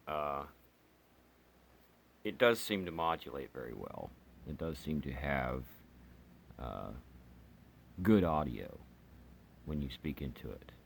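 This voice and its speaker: Very deep-voiced man giving casual gear demo. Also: slight background noise. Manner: halting, rambling explanation with pauses. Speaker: hobbyist product reviewer. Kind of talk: casual unscripted gear demonstration.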